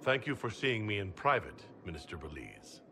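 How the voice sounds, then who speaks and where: An actor playing a steady, gallant leader in a video game, speaking in a courteous, direct and diplomatic manner. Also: male voice, on the low side, moderate pace, gravelly, slight background noise, exaggerated voice. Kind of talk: video game cutscene acting.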